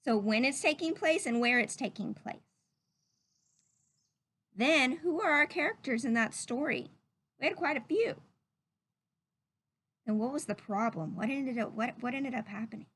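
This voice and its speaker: A woman's voice: fairly high pitch, lively intonation, moderate pace, long pauses, a bit bright, husky. A woman reading a picture book aloud to young children like a teacher, drawing out phrases and putting questions to her listeners.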